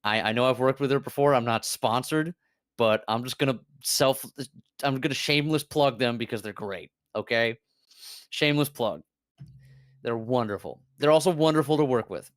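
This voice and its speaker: A man chatting casually on a stream, animated and swearing freely, with lots of fillers and laughter. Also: medium pitch, moderate pace, no echo, studio quality. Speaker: VTuber guest.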